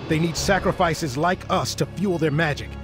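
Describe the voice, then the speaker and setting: A man's voice: fairly high pitch, moderate pace, close to an everyday voice. An actor playing a young male soldier in a fantasy video game, speaking with earnest urgency. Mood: urgent, worried. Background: dramatic music.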